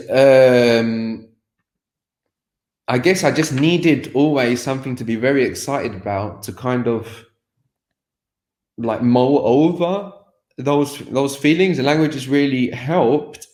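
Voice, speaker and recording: A man's voice: medium pitch, a little mellow, reverberant. A man as a podcast guest, talking candidly about his past, reflective, with many fillers and restarts. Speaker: interview guest, language teacher. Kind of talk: candid personal conversation.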